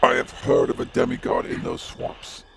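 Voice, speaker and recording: A man voicing a grave, formal dwarf warden in magical armor with a gravelly voice, for a tabletop fantasy game. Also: very deep voice, completely flat delivery, moderate pace, heavy background noise, full character voice. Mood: solemn, puzzled. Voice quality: gravelly, creaky.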